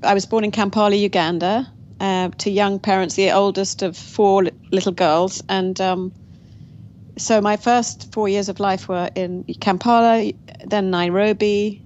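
A woman's voice: medium pitch, steady tone, measured pace, a little mellow. A woman, a guest in an interview, telling her family story in a reflective way with frequent restarts. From England. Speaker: guest author. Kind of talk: interview conversation. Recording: dull lo-fi audio with faint noise.

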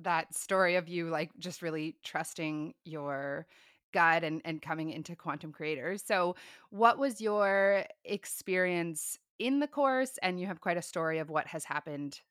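A woman's voice: on the low side, unhurried, fairly bright tone, clean tone, no room sound, studio quality. A woman hosting a podcast interview, asking friendly, hesitant questions. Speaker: podcast host.

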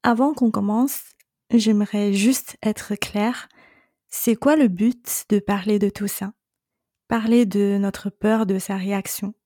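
A middle-aged woman hosting a solo coaching podcast, speaking calmly and reassuringly straight to her listener. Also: medium pitch, slow pace, no echo, studio quality. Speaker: separation coach and podcast host.

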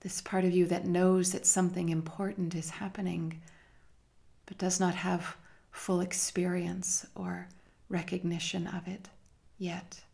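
A woman leading a guided meditation in a measured, hushed manner with long pauses between phrases. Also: on the low side, little pitch movement, creaky. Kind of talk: slow guided meditation narration.

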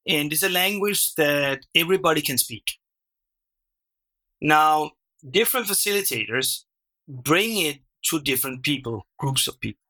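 A man whose first language is Danish, a workshop facilitator explaining his method as a podcast guest, animated and full of restarts and "right?". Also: on the high side, measured pace, poor audio. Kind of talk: conversational interview.

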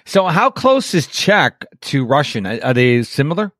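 A middle-aged man with a fairly high voice, talking loosely and warmly about his own missteps as he hosts a podcast. The sound is dry and close, with no room around it. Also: big pitch swings, normal speed, no echo. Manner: chatty, self-deprecating, tells personal stories. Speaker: podcast host. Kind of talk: casual interview chat.

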